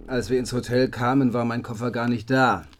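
An actor playing a dramatic, dry storyteller with clipped delivery in a radio drama. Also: male voice, medium pitch, steady tone, a little fast, slight background noise, close to an everyday voice. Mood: amused.

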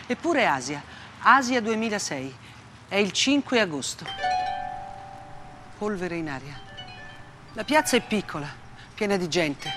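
A woman's voice: medium pitch, lightly acted. Weary, defiant woman journalist, played by an actress. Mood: grave, descriptive. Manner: deliberate, clipped short phrases with emphatic repetition. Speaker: actress in a theatrical monologue. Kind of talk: dramatic theatre monologue, first-person testimony.

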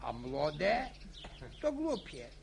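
An actor playing a chatty, grumbling old farmer in a radio drama, stammering and repeating words. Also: male voice, a little high, huge pitch swings, extremely slow, gravelly, a little hiss or hum, full character voice. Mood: rambling, folksy.

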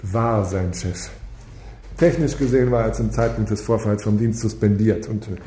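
An actor playing a stern, stubborn police superior in a radio drama, speaking curtly and formally. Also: male voice, fairly low pitch, moderate pace, warm tone, natural voice. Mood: stern, dismissive. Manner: curt, formal. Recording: echoey room with background noise.

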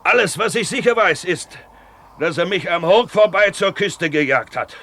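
Grizzled old sea captain in a radio play, hoarse, blustery, spinning salty yarns. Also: male voice, high voice, lively intonation, moderate pace, a bit bright, heavily acted. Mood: storytelling. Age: older. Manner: bawling, emphatic, salty storytelling. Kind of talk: 1970s German radio drama acting.

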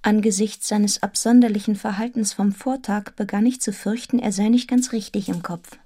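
Woman playing young schoolmistress telling her story, radio play, earnest n composed, normal pitch. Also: fairly even intonation, moderate pace, dark tone, husky, close to an everyday voice. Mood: composed narrating. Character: earnest, observant, forthright young schoolmistress narrator. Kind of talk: German radio play acting and literary narration.

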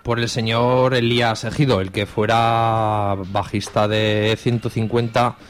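A man from Spain with a Spanish accent hosts an informal music radio show in a casual, halting way. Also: lowish voice, little pitch movement, slight background noise.

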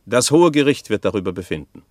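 Narrator, male actor: fairly low, formal, measured and solemn, closely recorded dry radio play sound. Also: expressive, no room sound, lightly acted. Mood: grave.

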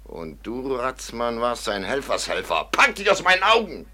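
An actor playing a hot-tempered, loyal robber in a radio drama, blustering and full of scorn. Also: male voice, mid-range voice, wildly swooping pitch, moderate pace, bright, forceful tone, full character voice. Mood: gruff, commanding. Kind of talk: classic German radio drama acting.

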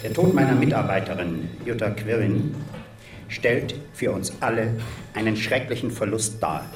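An actor playing a grave populist politician in a radio drama, giving a measured formal statement. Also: male voice, fairly low pitch, animated. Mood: solemn, rhetorical. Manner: measured, solemn, rhetorical. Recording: roomy, distant mic, music behind.

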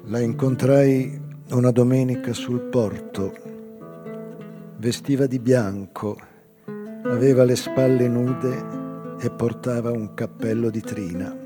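An actor playing a melancholy old whaler in a measured, reflective theatrical monologue about his life. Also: mid-range voice, close to an everyday voice. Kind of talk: literary dramatic monologue. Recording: reverberant stage hall, guitar music behind. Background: live guitar music.

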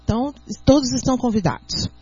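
A Brazilian woman speaking as a doctor and guest on a radio talk show, explaining in an earnest, teacherly way and sometimes reading aloud slowly. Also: on the high side, dark tone, husky, no room sound. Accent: Brazilian Portuguese. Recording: muffled lo-fi radio audio.

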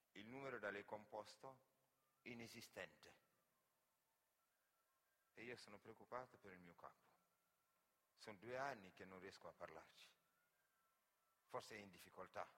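An actor playing an earnest, wounded migrant worker in an emotive theatrical monologue. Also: male voice, fairly low pitch, a bit bright. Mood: worried, sincere. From Senegal. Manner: emotive, storytelling delivery with repeated phrases. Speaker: stage actor and storyteller. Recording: reverberant hall.

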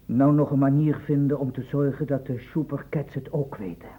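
Husky man, medium pitch, earnest concerned investigator played by an actor, formal, radio drama, phone-like old recording. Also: steady tone, normal speed, close to an everyday voice. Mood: defensive, troubled. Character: earnest, concerned male investigator assisting a doctor.

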